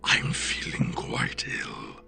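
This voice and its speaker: A man playing an ill, weakened detective in an audio drama, with a breathy, creaky whisper and halting, strained urgency. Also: pushed and bright, faint music behind. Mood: weak, strained. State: weak, ill.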